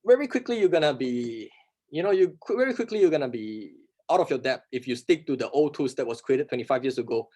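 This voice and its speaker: A man who is not a native English speaker answers workshop questions, talking fast and emphatically, often asking and answering his own rhetorical questions. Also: medium pitch, lively intonation, mellow. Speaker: workshop instructor.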